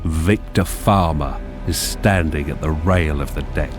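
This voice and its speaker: A man reading scripted narration for a radio drama in a measured, descriptive manner. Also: extremely low pitch. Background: music.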